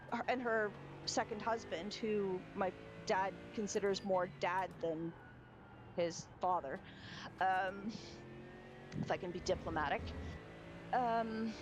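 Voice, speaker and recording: A young woman, chatting casually and rambling, with restarts and fillers. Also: medium pitch. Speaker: sim racing streamer. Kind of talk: casual chat. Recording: background noise from game audio.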